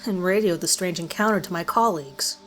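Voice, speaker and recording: A woman reads a scripted first-person horror story in a measured, deliberate way with dramatic pauses. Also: fairly low pitch, fairly bright tone. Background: background music.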